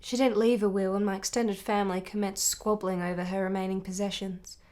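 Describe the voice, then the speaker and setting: A woman playing a candid young wife who yearns for romance in a radio drama, speaking in measured, articulate, intense phrases. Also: medium pitch, flat delivery, a little mellow, lightly acted. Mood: reflective.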